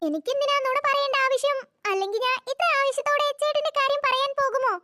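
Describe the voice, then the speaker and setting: A performer voicing a teasing, sassy young woman in a cartoon, with a pitched-up voice. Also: fairly even intonation, fast, bright, forceful tone, no echo, studio quality. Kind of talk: cartoon voice acting.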